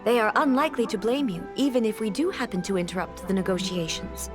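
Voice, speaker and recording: A woman voicing a stern, dutiful female ruler in a video game, speaking formally and with measured authority. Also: medium pitch, lively intonation, close to an everyday voice. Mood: solemn, resolute. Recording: game music behind the voice. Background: game music.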